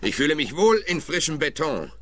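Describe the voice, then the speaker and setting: A brusque property investor. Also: male voice, fairly high pitch, sing-song, a little fast, fairly bright tone. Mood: brisk. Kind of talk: radio play acting.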